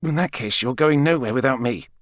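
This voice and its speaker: A man voicing a bold, outspoken woman with a brisk, challenging manner in an audiobook. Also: mid-range voice, dry recording, voice pushed up, lightly acted.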